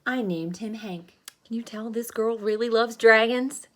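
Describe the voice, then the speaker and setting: A woman schoolteacher reading a picture book aloud with animated, dramatic emphasis and encouraging asides to her pupils. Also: fairly high pitch, a little slow. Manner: encouraging, checks understanding with questions. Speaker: elementary school teacher.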